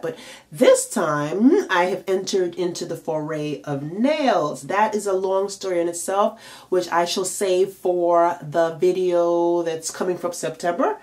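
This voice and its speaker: A tired, congested woman giving chatty career advice to camera as a makeup and nail artist, with plenty of fillers. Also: fairly low pitch. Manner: chatty, frequent fillers, emphatic rhetorical questions. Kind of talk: casual advice vlog to camera.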